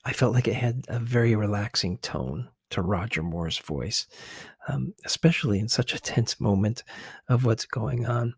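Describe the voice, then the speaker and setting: A man giving soft, unhurried ASMR commentary as a video host, hesitant and sometimes slipping toward a whisper. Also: lowish voice, animated, breathy edge, dry recording, studio quality.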